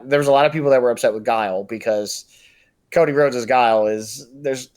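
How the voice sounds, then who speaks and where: A man's voice: medium pitch, fairly quick, darkish tone. An American man co-hosting a podcast, giving his opinions in casual pop-culture conversation. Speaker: podcast co-host.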